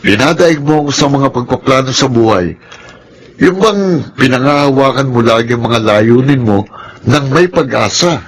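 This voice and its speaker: A man's voice: medium pitch, expressive, moderate pace, a little hiss or hum, natural voice. Man acting a kind big-brother friend. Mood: admiring, sincere. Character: kind, encouraging older-brother friend, sincere. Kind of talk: Filipino radio drama acting. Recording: faint music behind the voice.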